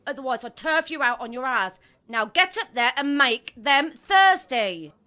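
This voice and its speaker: A brash Victorian tavern madam played by a woman, with a bright, forceful voice that swings up and down. She is bossy and sharp-tongued in a theatrical way. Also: sits high, expressive, a little slow, a little hiss or hum, exaggerated voice. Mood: scolding. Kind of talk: theatrical stage drama acting.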